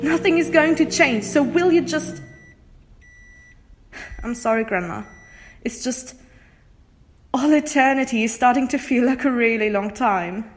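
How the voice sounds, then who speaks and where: A woman's voice: high-pitched, unhurried, pauses often. A woman playing a bored, sarcastic young ghost granddaughter in an audio drama, flippant and teasing. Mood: fed up.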